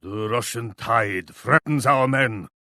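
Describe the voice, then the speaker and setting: A man's voice: mid-range voice, slow, sharp tone, no echo, clean studio sound. An actor playing a proud, grim Japanese warlord in a video game, solemn and declamatory as he addresses troops and allies. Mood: grim, alarmed. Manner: solemn, declamatory, speaks of himself in third person. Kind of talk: theatrical video game voice lines.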